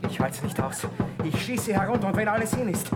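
An actor playing a brutal, frantic army officer, barking threats and orders in a radio drama. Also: male voice, high voice, lively intonation, fast, loud music behind the voice. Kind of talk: stage-style radio drama acting.

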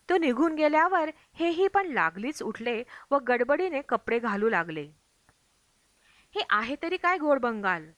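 Bright-voiced woman reading an audiobook. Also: on the high side, dry recording. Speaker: audiobook reader. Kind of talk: scripted narration of a travel memoir.

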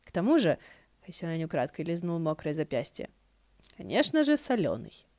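A woman reading fan fiction aloud as an audiobook narrator, in an expressive storytelling style. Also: low voice, moderate pace, dry recording.

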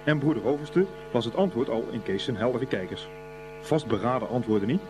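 A man's voice: medium pitch, animated, fairly quick, over music, lightly acted. A man narrating a scripted boys' school story for a radio play. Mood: storytelling.

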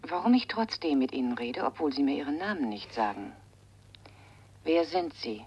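Low husky haughty widow, guarded n teasing, very animated pitch, radio krimi. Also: female voice. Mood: mocking. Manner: cool, sharp, teasing, deliberate. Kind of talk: German radio crime drama acting. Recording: slightly dull old radio-play sound.

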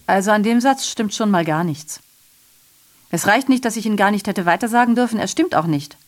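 A woman's voice: medium pitch, expressive, a little fast, very noisy, natural voice. An actress playing a sardonic, aggrieved female messenger in a radio drama, delivering a digressive monologue full of rhetorical questions and self-corrections. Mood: indignant, sardonic.

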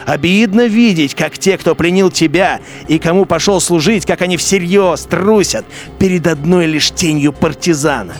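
A man's voice: fairly high pitch, normal speed, hardly any pauses. Man narrating a radio drama over faint music.

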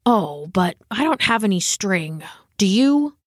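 A woman's voice: medium pitch, sing-song, takes it slowly, no echo, studio quality. A woman voicing a humble, earnest old male dog in a storybook, speaking hesitantly and sincerely. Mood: impressed, unsure. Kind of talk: storybook character voice.

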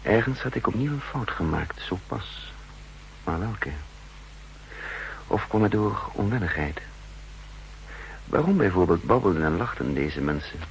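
An actor playing a brooding undercover double agent who narrates his story in measured, introspective Netherlands Dutch, in a 1960s radio drama. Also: low voice, expressive, gravelly, heavily acted, rough recording. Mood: uneasy, pensive. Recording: hissy 1960s radio recording.

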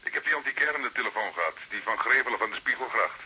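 Sharp, tinny-phone junior detective. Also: male voice, on the low side, animated, rapid-fire, slightly rough, heavily acted. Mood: brisk. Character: brisk, eager male junior police detective. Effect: telephone filter. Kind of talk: Dutch radio drama acting. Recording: hissy, tinny telephone line.

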